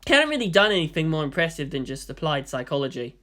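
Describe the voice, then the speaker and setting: A man's voice: a little high, very animated, quick pace, bright, forceful tone. A man reading fan fiction aloud as scripted audiobook narration.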